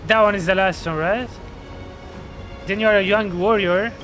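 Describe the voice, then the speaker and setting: Man streaming a game, high voice, jokey commentary, game music behind. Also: steady tone, moderate pace, a bit bright. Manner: playful, jokey reactions. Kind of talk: playthrough commentary.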